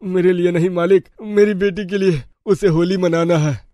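A man voicing a poor, meek village father who pleads in despair in an animated story. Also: high-pitched, moderate pace, no echo, highs cut off, natural voice.

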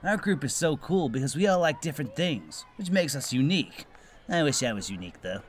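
Aloof, self-important schoolboy acted by a man, animated. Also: a little high, fairly quick, a little hiss or hum, close to an everyday voice. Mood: lecturing. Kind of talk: anime parody fan dub.

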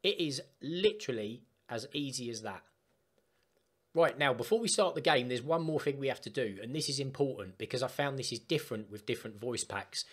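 A man chatting casually through a step-by-step software tutorial for gamers. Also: medium pitch, expressive, fairly quick. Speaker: gaming tutorial host. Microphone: desktop microphone, voice-over of screen capture.

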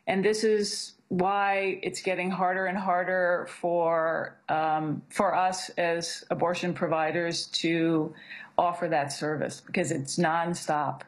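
A woman's voice: fairly low pitch, flat delivery, slow. A middle-aged woman, a physician assistant, speaking earnestly in a news interview, often restarting her sentences. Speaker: physician assistant, abortion provider.

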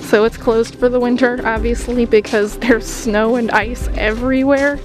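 Young woman, fairly high voice, breezy walk-and-talk tour vlogger, camera mic, music underneath. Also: steady tone, normal speed, low-quality recording. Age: young adult. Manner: chatty, upbeat, pointing things out while walking. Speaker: vlogger giving a barn tour. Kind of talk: casual walking tour narration. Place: horse barn and snowy paddocks outdoors.

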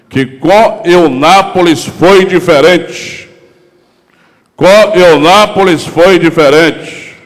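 A Brazilian man in his forties giving a formal, oratorical political speech at a lectern, with emphatic lists and rhetorical questions. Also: on the high side. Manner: gestures while speaking at a lectern. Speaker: city councillor. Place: council chamber, indoors. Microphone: handheld gooseneck lectern microphone. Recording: long reverb of a chamber hall.

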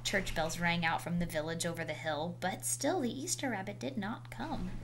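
Woman reading a storybook, noisy recording. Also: lowish voice. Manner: animated, dramatic emphasis with drawn-out words. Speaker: storybook reader. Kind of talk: expressive read-aloud of a children's picture book. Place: indoors, small room at home. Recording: noisy home video recording.

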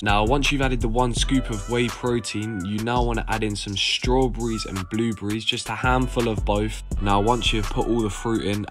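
A young man, chatting casually to camera about meal prep at home. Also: on the low side. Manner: chatty, slangy and direct to the viewer. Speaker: fitness vlogger. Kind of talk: casual vlog talk to camera. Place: living room and kitchen at home. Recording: background music under the voice.

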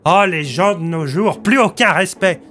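A man's voice: high-pitched, normal speed, fairly bright tone, slight background noise, voice pushed up. A man voicing a naive, dim-witted, chatty police sergeant in an audio story, hesitant and guileless. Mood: cheerful, oblivious.

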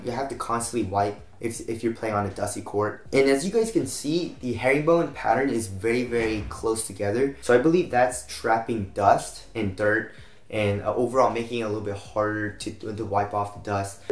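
Slightly raspy young American man, roomy sound. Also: medium pitch. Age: young adult. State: recovering from a cold. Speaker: channel host, sneaker reviewer. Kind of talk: casual product review to camera. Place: small home room, indoors, at a desk. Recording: roomy echo.

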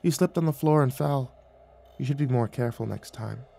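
A man's voice: medium pitch, animated, brisk, vocal fry, faint music behind. A man voicing an irritable, imperious female water nymph with folksy sass in an audiobook, scolding and giving orders. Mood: soothing, firm. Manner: scolding, bossy, commanding. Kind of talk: audiobook character acting.

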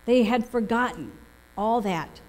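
A middle-aged woman preaches a sermon from prepared notes, telling stories as a pastor does. Her voice sits a little high. Long reverb from the church hall trails her words at the pulpit microphone. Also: animated, unhurried, darkish tone. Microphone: gooseneck pulpit microphone.

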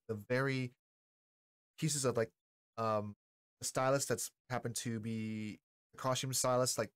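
A man in his forties giving casual, slangy reaction commentary in a halting, enthusiastic way as a video host. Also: mid-range voice. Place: small indoor streaming room. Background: pop music.

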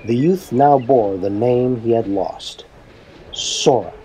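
A man reading a fan-fiction story aloud as narrator, in a dramatic, deliberate storytelling manner. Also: mid-range voice, mellow, a little hiss or hum, low-quality recording.

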